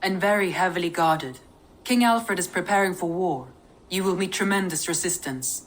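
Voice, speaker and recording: A woman's voice: lowish voice, normal speed, lightly acted. An actress playing a composed, businesslike female viking advisor in a video game. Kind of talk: video game cutscene acting.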